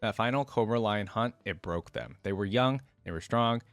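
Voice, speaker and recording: A man's voice: medium pitch, normal speed, no room sound. A man reading a story aloud expressively, breaking off for casual, informal commentary as a reaction creator.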